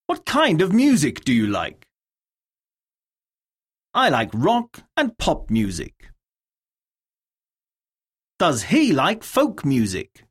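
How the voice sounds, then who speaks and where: A woman narrating a scripted English lesson, reading out words, questions and dictation in a deliberate, enunciated way with pauses. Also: low-pitched, huge pitch swings, slightly rough.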